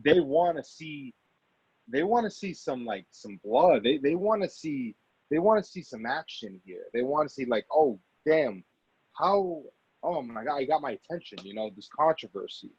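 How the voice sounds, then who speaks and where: A man being interviewed as an actor, chatting casually and haltingly, often repeating phrases for emphasis. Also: a little high, sing-song, darkish tone, husky, dry recording. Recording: dull, muffled lo-fi audio.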